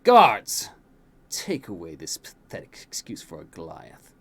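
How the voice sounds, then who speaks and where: A man voicing a cruel young usurper prince who talks low and gloating. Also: huge pitch swings, a little slow, fairly bright tone, full character voice.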